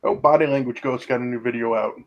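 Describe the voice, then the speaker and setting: Man, podcast co-host, excitable, chatty, lots of "dude", gushes about cartoons. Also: mid-range voice, fast, muffled recording. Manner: excitable, says "dude" a lot. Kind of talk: casual chat.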